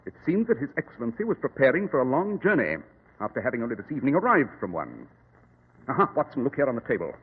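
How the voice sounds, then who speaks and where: An actor playing a brisk, self-assured male detective in an old-time radio drama, with precise, formal, condescending diction. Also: mid-range voice, wildly swooping pitch, slightly rough, narrow, tinny audio, full character voice. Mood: keen, assured. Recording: echoey, band-limited old radio recording.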